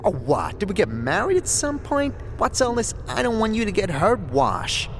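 A man's voice: high voice, big pitch swings, moderate pace, background noise, voice pushed up. A man voicing a sarcastic, indignant floating undead skull in an audio drama, wisecracking and complaining.

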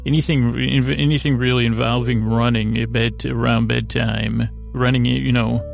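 A man hosting a bedtime podcast in a rambling, halting, goofy and self-deprecating way, with a creaky, gravelly voice. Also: medium pitch, flat delivery, faint music behind. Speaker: podcast host.

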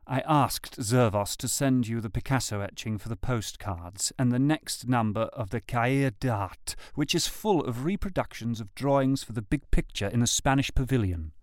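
A lovesick, anguished man acted by a male reader pours out a love letter in heartfelt, literary phrases. His mid-pitched voice sounds dry and close, in the manner of a dramatic reading. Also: moderate pace, few pauses, dry recording, natural voice. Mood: wistful, wry.

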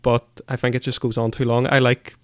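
A man's voice: mid-range voice, little pitch movement, fairly quick, no echo, studio quality. A man co-hosting a casual book discussion, giving opinions in hesitant phrasing with repeated words. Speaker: podcast co-host.

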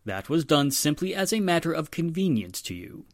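An actor playing a firm, courteous police inspector with a formal, authoritative manner in 1940s radio drama style. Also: male voice, on the high side, sing-song, moderate pace, dry recording, studio quality. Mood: polite, measured.

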